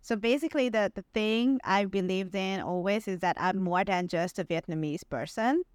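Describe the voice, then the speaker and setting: A woman's voice: mid-range voice, normal speed, dry recording, studio quality. Chatty young woman podcast host. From Hungary. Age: 20s. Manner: chatty, laughs often, many fillers. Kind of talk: casual interview chat.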